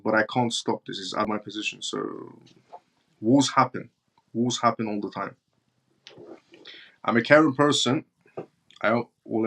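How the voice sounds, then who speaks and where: A man's voice: fairly low pitch, fairly bright tone. A man from northern Iran with as his hosts a live stream, answering viewer chat bluntly and repeating his points for emphasis. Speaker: live-stream show host.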